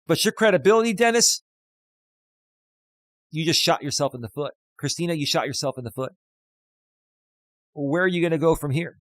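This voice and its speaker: Male life coach, 50, loud and emphatic, slightly high-pitched, dry close studio mic. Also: normal speed, pauses often, dry recording. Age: 50s. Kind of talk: live stream commentary reading chat. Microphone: studio dynamic mic.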